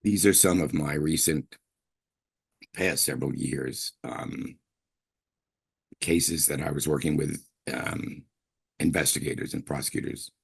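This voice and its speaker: Older male professor from brooklyn, very low gravelly voice, husky, rambling lecture with throat clearing. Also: measured pace, long pauses. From Brooklyn, New York. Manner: chatty, digressive, frequent throat clearing and fillers. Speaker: linguistics professor giving a lecture. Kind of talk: university guest lecture.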